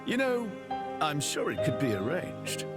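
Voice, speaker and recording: A man's voice: on the high side, huge pitch swings, a little slow. A man playing a breezy, theatrical, joking adventurer in a video game, casual and playful. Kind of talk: dramatic video game cutscene acting. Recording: loud music behind. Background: game soundtrack music.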